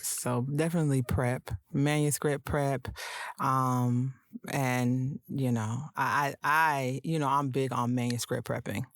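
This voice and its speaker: Breathy woman with a slight lisp. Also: very deep voice, slow pace, no room sound. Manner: calm, soothing delivery. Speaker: podcast host and audiobook narrator. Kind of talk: casual interview chat.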